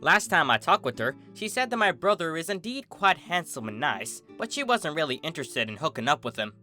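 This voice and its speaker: Man acting young cartoon mare, country type, blunt, incredulous, raised pitch, lively swings. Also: fairly quick, soft background music. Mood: dismissive. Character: blunt young female country pony, suspicious and flabbergasted.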